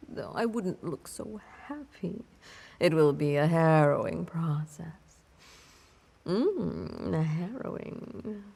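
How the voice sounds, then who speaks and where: A woman playing an ancient, imperious vampire mistress in an intimate audio roleplay, predatory and seductively menacing, with theatrical, breathy half-whispered delivery and drawn-out words. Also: deep voice, very animated, dark tone, exaggerated voice. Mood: commanding, ominous.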